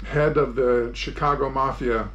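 A man's voice: medium pitch, steady tone, a little slow, fairly bright tone, lo-fi sound. A man in his sixties giving an informal, plain-spoken narration as a vlog host, explaining things step by step. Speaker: sailing vlogger and host. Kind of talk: informal narrated travel tour and how-to.

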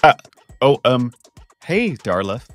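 A man's voice: medium pitch, very animated, slow, faint music behind. A young man voicing a popular teenage boy who is nervous and stammers a lot, juggling two dates. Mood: flustered. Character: popular teen boy, flustered two-timer. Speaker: voice actor in a fan-made game drama.

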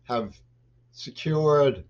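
An older man in a small home office talks to his webcam in a rambling political monologue, jumping between loud bursts and low mutters. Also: expressive, very slow. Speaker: vlogger. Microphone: webcam at desk. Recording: dull lo-fi webcam audio.

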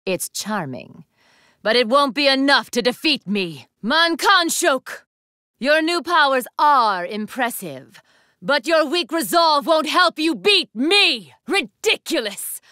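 A woman's voice: sits high, big pitch swings, slow, bright, forceful tone, no echo, clean studio sound, heavily acted. A woman voicing a proud, fierce young female student leader in an anime fighting game, with a commanding, haughty, formal delivery.

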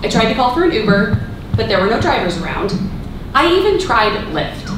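High-voiced deadpan young woman in an echoey hall, played by an actress. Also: brisk. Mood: dry, matter-of-fact. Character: deadpan tech-dependent young woman narrating her absurd misadventure. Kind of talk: theatrical comic monologue in a live radio play. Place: live stage in a mid-sized hall with audience. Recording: echoey hall from far off, loud noise.

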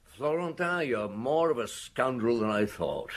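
A man's voice: mid-range voice, big pitch swings, a little slow. An actor playing a shrewd, weary middle-aged male police detective in a radio drama, questioning people in a probing, matter-of-fact way. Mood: gruff.

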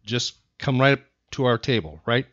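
A man's voice: mid-range voice, animated, normal speed, fairly bright tone, no echo. An American man hosting a casual talk show, emphatic and repeating phrases for effect. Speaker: podcast host. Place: studio. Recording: dry, slightly dull lo-fi studio sound.

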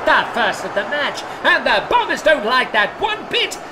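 An actor playing an excitable, old-fashioned match announcer in a comic fantasy audio drama, theatrical and grandiose. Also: male voice, very high-pitched, normal speed, crowd noise, noisy background. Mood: excited. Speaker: sports announcer.

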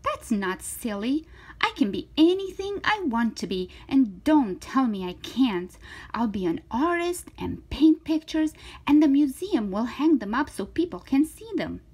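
A woman's voice: sits high, big pitch swings, measured pace, darkish tone, husky, voice pushed up, full character voice. A woman voicing a confident, defiant little girl from a children's storybook, emphatic and eager.

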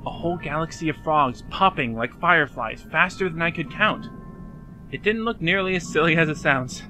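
A man's voice: on the high side, animated, moderate pace, sharp tone, slight background noise. A man playing an anguished, self-doubting young man in a fan audio drama, rambling and self-deprecating as he confides in a friend. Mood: pensive. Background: music.

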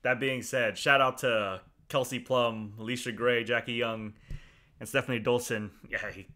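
Easygoing bright-voiced male host. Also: medium pitch, moderate pace. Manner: relaxed, easygoing, laughs often. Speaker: podcast host. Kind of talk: casual sports chat. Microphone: headset.

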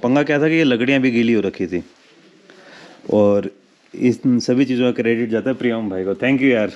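A man's voice: medium pitch, normal speed, a little mellow, dull audio. A man vlogging casually at a campsite at night, chatting to his viewers and calling them brother. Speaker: travel vlogger. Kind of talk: casual vlog narration to viewers. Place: campsite at night, outdoors and in a tent.

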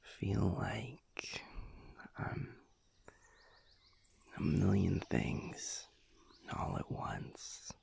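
A very slow, deeply creaky voice for a sweet werewolf lover in an intimate audio roleplay, acted by a man. He is low and breathy, musing tenderly in halting, soft-spoken phrases that often drop toward a whisper. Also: very animated, exaggerated voice. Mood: reflective, hushed. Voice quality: breathy, gravelly half-whisper. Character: sweet, reflective werewolf boyfriend, tender and unhurried.

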